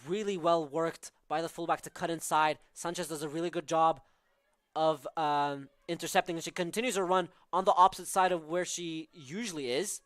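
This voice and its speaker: A man's voice: a little high, steady tone, moderate pace, a bit bright, dry recording. A young man from southern Norway with a Norwegian accent, calling live college soccer with tactical analysis and frequent fillers. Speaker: play-by-play commentator.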